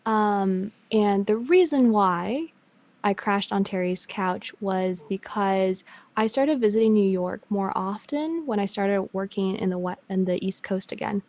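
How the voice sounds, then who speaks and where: A woman's voice: medium pitch, a little slow, darkish tone, no echo. Chatty young woman, dry close sound. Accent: Canadian with a slight Chinese tinge. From China, raised in Canada. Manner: chatty, laughs easily, many ums and restarts. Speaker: guest. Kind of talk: casual chat.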